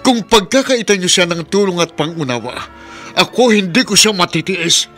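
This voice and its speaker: A devoted, defiant Filipino-accented man in a radio drama. His voice is high for a man and slightly bright, earnest and impassioned, with some music behind. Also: lively intonation, moderate pace. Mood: pleading, resolute. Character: devoted, defiant man pleading for someone he loves.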